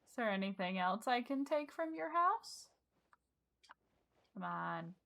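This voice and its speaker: A woman's voice: on the high side, sing-song, measured pace, creaky, a bit bright. A woman game streamer giving casual live commentary in an informal, irreverent way. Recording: game music in the background.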